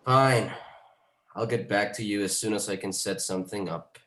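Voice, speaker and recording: A man's voice: fairly low pitch, moderate pace, a bit bright, close to an everyday voice. Man playing brusque dealmaker, echoey room. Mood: curt. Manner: curt, casual, impatient. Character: brusque, pushy business dealmaker, impatient man. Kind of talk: screenplay table read.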